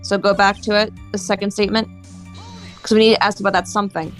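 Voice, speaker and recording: A woman co-playing a game, chiming in with quick suggestions from away from the mic. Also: mid-range voice, flat delivery, faint music behind. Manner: directing play with quick suggestions. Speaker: co-player. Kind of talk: casual gaming chat.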